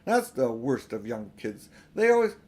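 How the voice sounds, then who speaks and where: A man's voice: mid-range voice, very animated, moderate pace, close to an everyday voice. Man playing a smug, spiteful young boy. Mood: smug, sneering. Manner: superior, jeering. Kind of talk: storybook character reading.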